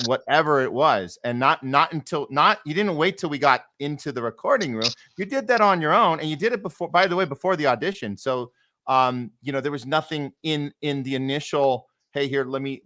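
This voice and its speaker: A man's voice: mid-range voice, a bit bright. A man hosting a casual interview as a director and actor, talking emphatically and building on what others say.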